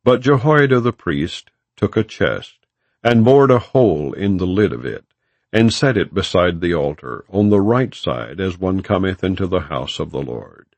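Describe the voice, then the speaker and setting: A man with a low, gravelly voice reads scripture as an audiobook narrator. His delivery is solemn and measured, with formal phrasing and expressive rises and falls in tone. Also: dry recording. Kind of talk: solemn non-dramatized scripture reading.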